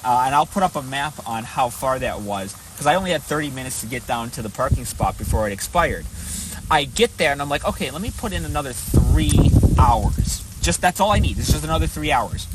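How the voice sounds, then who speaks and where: A man's voice: mid-range voice, noisy background. A man telling a story casually to camera in an unscripted, rambling way, griping and swearing as he goes. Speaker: vlogger recounting a protest he attended.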